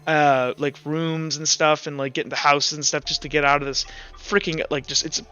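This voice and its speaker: A man runs a tabletop game with a smooth, slightly high voice. His improvised narration is informal and stumbles along casually. Music sits behind him and the sound is a bit muffled. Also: flat delivery, bright, forceful tone. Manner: informal, halting, filler-heavy. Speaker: game master.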